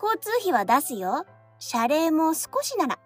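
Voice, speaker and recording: High-pitched, cheeky teenage schoolgirl. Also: very animated, unhurried, soft background music. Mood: teasing, coaxing. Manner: casual, bossy, teasing. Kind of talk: Japanese audio drama acting.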